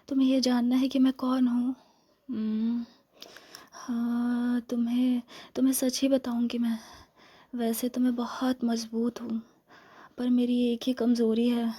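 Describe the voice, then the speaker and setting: Seductive lovestruck female vampire , played by a woman for romantic ASMR role-play: higher-pitched yet mellow, soft, half-whispered, intimate and possessive. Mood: confessional, yearning. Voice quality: soft, breathy, often whispered. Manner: intimate, slow, coaxing, repeats phrases.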